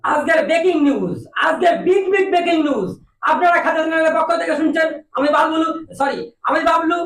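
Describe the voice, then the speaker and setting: Very high-pitched, shouty cartoon news anchor. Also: male voice, a little fast, distant mic. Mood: sensational, excited. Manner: shouty, melodramatic announcing. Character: loud sensationalist male TV news anchor, excitable and indignant. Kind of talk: parody breaking-news delivery in a comedy cartoon. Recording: roomy echo, faint noise, off-mic.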